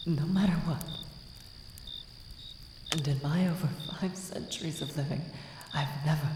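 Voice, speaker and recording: A woman acts out an ancient vampire woman with a low, slow voice. She is anguished, devoted and vengeful, breaking into halting breathy whispers in a dramatic fantasy roleplay. Her voice rings in long reverb over night-time cricket ambience. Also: background noise. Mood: torn, resolving. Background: night ambience with crickets and sound effects.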